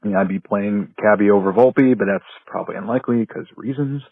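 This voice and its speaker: A man's voice: on the low side, fast talker, vocal fry, breathy edge, no room sound. An American man leaving a casual, analytical sports fan's voicemail over the phone. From North Carolina. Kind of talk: casual tactical fan analysis. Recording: dry, tinny phone voicemail.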